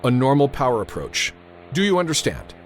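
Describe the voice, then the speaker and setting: An actor playing a tense, authoritative airfield controller in a radio drama, narrating and giving orders with clipped urgency. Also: male voice, mid-range voice, wildly swooping pitch, a little fast, faint music behind. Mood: firm, commanding. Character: tense, commanding male airfield tower controller narrating a crisis.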